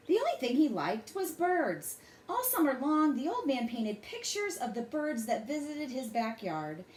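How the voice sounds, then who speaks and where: A woman reads a bedtime story aloud to children with expressive, dramatic phrasing. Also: on the high side. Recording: roomy echo, faint background noise.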